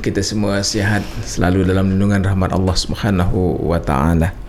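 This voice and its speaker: A man's voice: deep voice, level tone, a little fast, a little mellow, a little hiss or hum. A man with a Malay accent, a guest on a radio show, speaking politely and hesitantly with fillers. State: unwell with acid reflux. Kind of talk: casual radio interview. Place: radio studio.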